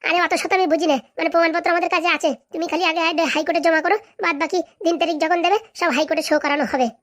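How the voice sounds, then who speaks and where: A woman's voice: very high-pitched, fairly even intonation, quick pace, a bit bright. A woman voicing a bossy, impatient, haughty female politician in a comedy cartoon, brusque and demanding. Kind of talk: comic cartoon dialogue.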